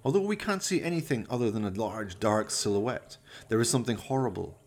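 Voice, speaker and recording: A man's voice: fairly low pitch, lively intonation, fairly quick, darkish tone. A man narrating a comic horror radio play as scripted storytelling, in a melodramatic, mock-suspenseful manner.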